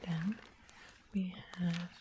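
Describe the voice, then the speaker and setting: Woman playing a slow, whispering potion witch. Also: fairly low pitch, level tone. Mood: gentle, hushed. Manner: slow, gentle, explanatory. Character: sweet-seeming witch of the west, potion maker. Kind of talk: whispered ASMR fantasy roleplay. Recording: close whisper with clear background hiss.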